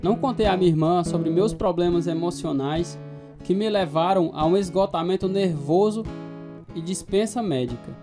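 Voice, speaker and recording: A man's voice: high-pitched, lightly acted. A man acting as a troubled, restless narrator telling his life story in a radio drama, with a Brazilian accent.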